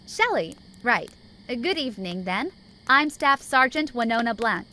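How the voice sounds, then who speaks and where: Bright, high-pitched breezy army sergeant played by a woman. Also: lively intonation, normal speed, a little hiss or hum. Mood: breezy, friendly. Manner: chatty, teasing, flippant. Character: breezy, friendly woman staff sergeant in post-apocalyptic army. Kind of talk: fan audio drama acting.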